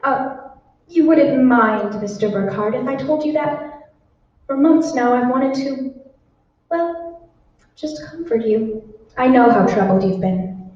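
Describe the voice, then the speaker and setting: A woman's voice: high voice, expressive, slow pace, pauses often. A woman playing a sweet, coaxing secretary who pushes products on her boss in an old-time radio drama. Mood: confiding, tender. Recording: echoey hall, distant mic, dull, faint noise.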